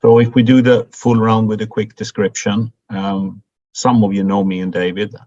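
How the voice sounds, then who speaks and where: Man from sweden, very low flat voice, husky, dull video call sound. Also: normal speed. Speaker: meeting host. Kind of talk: online meeting introduction.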